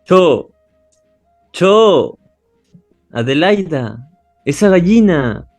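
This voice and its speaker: A man's voice: fairly high pitch, very animated, very slow, darkish tone, dry recording, soft background music, full character voice. A man playing a troubled, superstitious young husband in an amateur radio drama. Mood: uneasy, brooding.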